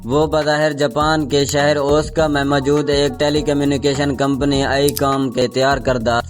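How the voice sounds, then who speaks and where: A man reading a scripted explainer narration in a formal, measured, documentary news style. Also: medium pitch, little pitch movement. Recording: background music under the voice. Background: music.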